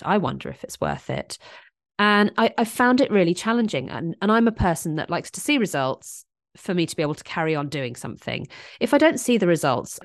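A woman's voice: lowish voice, dry recording, studio quality. A woman in her thirties hosting a podcast, talking candidly and reflectively with a stuffy, congested voice from a chest infection and hay fever. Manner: candid and reflective, with frequent restarts. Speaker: podcast host. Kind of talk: casual solo podcast monologue.

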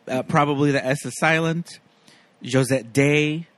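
A man's voice: on the high side, unhurried, a bit bright, dry recording. An American man co-hosting a podcast, chatting casually about film in a playful, emphatic way. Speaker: podcast co-host.

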